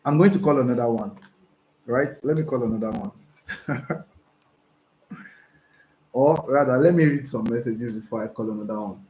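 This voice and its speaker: Hoarse Nigerian man, excitable livestream coach. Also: medium pitch, moderate pace, long pauses. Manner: excitable, repeats himself, stumbling restarts. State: hoarse from talking. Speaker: online business coach. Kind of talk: livestream chat reading viewer messages. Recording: roomy echo, faint background noise.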